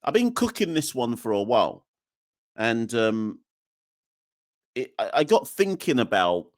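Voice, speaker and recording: A man's voice: mid-range voice, big pitch swings, a little slow, dry recording, studio quality. A man from Jamaica hosting a cricket podcast in a casual, emphatic way, with lots of fillers and tag questions. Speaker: podcast host. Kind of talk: casual solo podcast talk.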